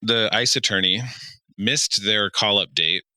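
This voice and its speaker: A man's voice: on the low side, a little slow, pushed and bright, smooth, no echo. A man co-hosting a podcast, telling stories and explaining in a casual way. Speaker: podcast co-host.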